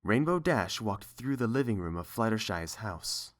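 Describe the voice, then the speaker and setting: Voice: medium pitch, big pitch swings, normal speed. A narrator reading scripted story prose.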